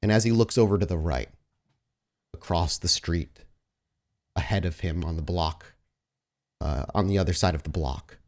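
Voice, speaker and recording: A man's voice: low voice, moderate pace, pauses often, darkish tone. A man acting as storyteller for a tabletop roleplaying game, narrating in a descriptive, cinematic way. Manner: descriptive, cinematic scene-setting.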